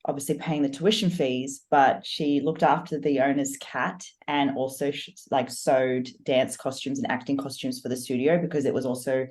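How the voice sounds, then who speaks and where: A woman with a remarkably deep voice talks through her past in long, flowing stories. She is earnest and reflective, a podcast guest who coaches business owners. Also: steady tone, moderate pace, hardly any pauses, darkish tone. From Australia. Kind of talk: personal storytelling in a podcast interview.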